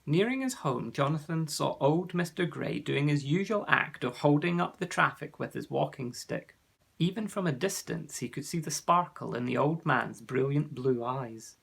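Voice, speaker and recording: A man reading a children's story aloud with expressive storytelling. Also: a little high, normal speed, creaky, husky. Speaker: narrator.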